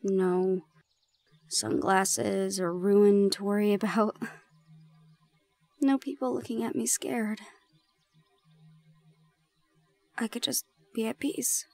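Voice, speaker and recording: A woman playing a shy, gentle young gorgon in an intimate audio roleplay, speaking softly and haltingly. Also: medium pitch, flat delivery, no echo. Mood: homesick, hesitant. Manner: soft, hesitant, halting.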